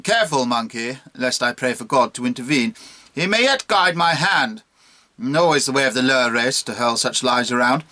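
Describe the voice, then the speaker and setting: Man acting a pompous old bishop, forceful and animated. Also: mid-range voice, moderate pace. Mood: threatening, indignant. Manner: pompous, declamatory, threatening. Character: pompous, blustering fat old drunken bishop. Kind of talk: dramatic poetry reading.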